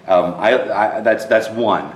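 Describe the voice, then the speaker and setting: A man's voice: lowish voice, takes it slowly, slight background noise. A man in his thirties, a theater director, answering interview questions earnestly and often repeating words as he searches for them. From Texas. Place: empty theater auditorium. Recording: roomy, distant sound in a theater.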